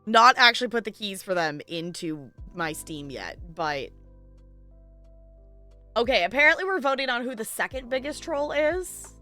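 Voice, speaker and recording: Female game streamer, lively and swooping delivery, slightly high voice, casual and playful chat with viewers. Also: moderate pace, soft background music. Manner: playful, giggly, animated. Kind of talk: casual livestream chat, rambling.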